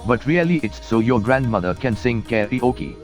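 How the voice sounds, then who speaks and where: A man's voice: medium pitch, animated, normal speed, faint music behind, close to an everyday voice. A man voicing a jokey, genial uncle in a children's storybook, with a playful, teasing manner. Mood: jovial.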